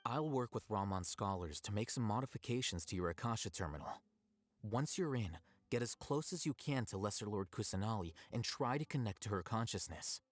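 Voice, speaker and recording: A man playing a calm, calculating scholar in a video game, laying out plans in measured, articulate phrases. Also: fairly low pitch, dry recording. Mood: composed.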